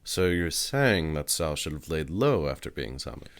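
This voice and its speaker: A man's voice: extremely low pitch, big pitch swings, normal speed, heavily acted. A man voicing a skeptical, blunt male scholar in an ASMR audio drama, with a dry, questioning manner. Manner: dry, questioning, matter-of-fact.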